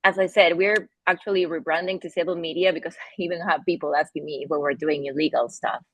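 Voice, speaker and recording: A woman from Argentina, with an Argentinian accent and Spanish as her first language, talking in a casual interview, halting often with fillers and restarts. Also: deep voice, steady tone, slightly rough, no echo, low-quality recording. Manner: halting, with many fillers and restarts, laughs between phrases. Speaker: guest, company founder.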